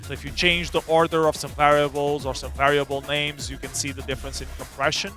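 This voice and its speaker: A man's voice: on the high side, flat delivery, fairly quick, sharp tone, soft background music. A man presenting a casual technical seminar in a loose, filler-heavy, informal way. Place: seminar room at a demo party.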